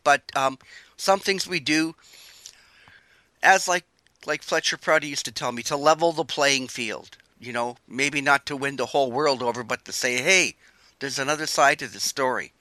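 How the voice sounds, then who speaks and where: An older man hosting a radio show, chatting in a relaxed, rambling way. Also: medium pitch, moderate pace, dry recording. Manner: relaxed, chatty, wandering sentences. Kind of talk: casual interview chat.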